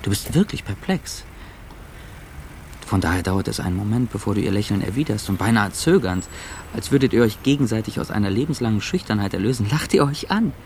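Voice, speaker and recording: A weary seducer watching himself, narrating in second person in a radio drama. His voice is low and gravelly, with faint noise behind it. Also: lively intonation, a little fast, a little mellow. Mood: intimate, observant. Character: self-observing seducer, weary male traveller narrating himself as you.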